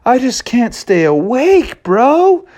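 A storyteller voicing an eager, sleepy young bear cub who talks high, squeaky and shouty in a made-up story. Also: very animated, takes it slowly. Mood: sleepy, cocky.

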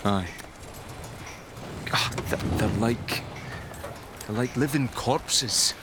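An actor playing a troubled, irritable wartime railway worker in a radio drama, colloquial and halting, with exasperation in the delivery. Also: male voice, mid-range voice, background noise. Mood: troubled, hesitant. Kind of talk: naturalistic radio drama acting.

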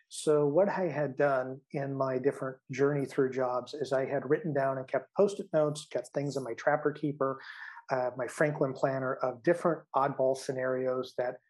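A man's voice: medium pitch, darkish tone, low-quality recording. A middle-aged man from the American Midwest with an American accent, talking casually about himself as a guest author in an interview.

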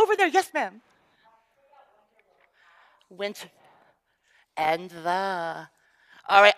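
A woman leading a congregation through a shared reading, encouraging and playful with participants. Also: high voice. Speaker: service leader.

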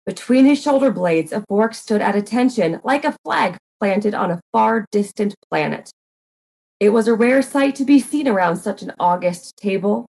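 A woman narrating a comic murder mystery in a deliberate, deadpan dramatic reading. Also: mid-range voice, gravelly, rough recording.

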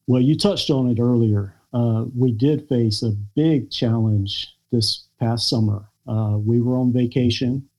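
An American man, a podcast guest, telling a personal family story in a halting, reflective way with many pauses and fillers. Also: medium pitch, fairly even intonation, dark tone, poor audio. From United States. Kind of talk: podcast interview conversation.

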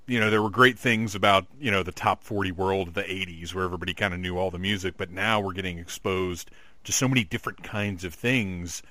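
A fairly low-voiced man hosts the interview in an easygoing way, often restarting his sentences as he feels out a question. His voice is close and dry, with little room sound. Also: steady tone, brisk, sharp tone, dry recording. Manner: casual, restarts, searching for words. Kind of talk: conversational interview.

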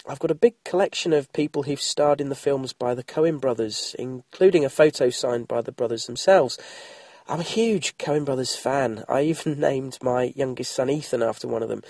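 A man's voice: on the high side, a little mellow, slightly rough, dry recording. A middle-aged man with an English accent, bunged up and throaty with a cold, chatting informally as a solo podcast host with wry, self-deprecating asides. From England. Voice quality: congested, throaty.

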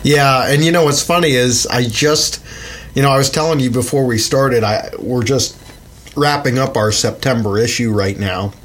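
A man's voice: mid-range voice, moderate pace, fairly bright tone, a little hiss or hum. A middle-aged man from Pennsylvania hosting a podcast, stuffed up with a summer cold, chatting in a rambling way with many fillers. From Pennsylvania, USA. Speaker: podcast host and magazine editor. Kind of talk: casual podcast chat.

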